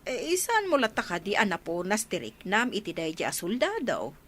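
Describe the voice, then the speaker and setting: An actress playing a caring middle-aged mother in a radio drama, with a gentle, probing way of talking. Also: medium pitch, huge pitch swings, moderate pace. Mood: concerned.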